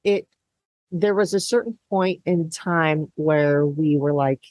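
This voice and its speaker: An interview guest with a mid-range voice talks reflectively, thinking out loud and acting out past thoughts in quotes. The recording is dry and close. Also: mid-range voice, takes it slowly, no room sound. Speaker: guest, business co-founder. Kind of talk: conversational interview.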